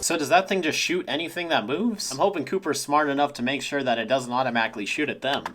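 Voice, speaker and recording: A man's voice: medium pitch, fast talker, fairly bright tone, a little hiss or hum. A man giving casual, analytical reaction commentary on a cartoon, picking at details and sometimes swearing. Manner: analytical, nitpicking, mildly profane. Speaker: host of a cartoon review channel.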